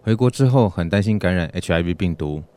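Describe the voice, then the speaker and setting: A man's voice: low-pitched, animated, a little fast, warm tone. A man playing an anxious traveller reading out a scripted question for a radio skit. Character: anxious male traveller confessing a health worry.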